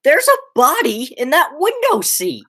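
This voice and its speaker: A teenage woman playing an agitated, horrified young man who stammers and exclaims in a high school radio play. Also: huge pitch swings, unhurried, fairly bright tone, full character voice. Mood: horrified, frantic.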